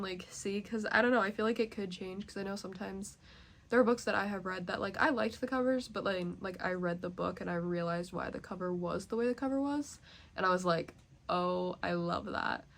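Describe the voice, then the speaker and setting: A woman's voice: mid-range voice, fairly quick, creaky, fairly bright tone. A young woman chatting casually as a book vlogger, nasally congested and rambling with many fillers. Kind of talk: casual chat.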